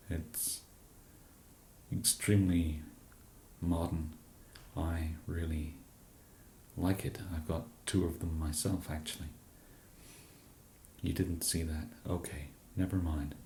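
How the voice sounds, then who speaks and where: An English man in an ASMR roleplay as a shop genius, speaking softly and hesitantly with many fillers and a dry, self-deprecating humour. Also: low-pitched, echoey room, slight background noise. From England.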